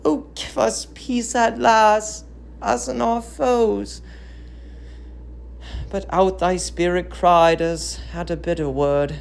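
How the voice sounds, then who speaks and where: A woman's voice: fairly low pitch, vocal fry. A woman playing an impassioned, bitter aged queen. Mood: urgent pleading. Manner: declamatory, impassioned. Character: aged grieving Trojan queen, bitter and accusing.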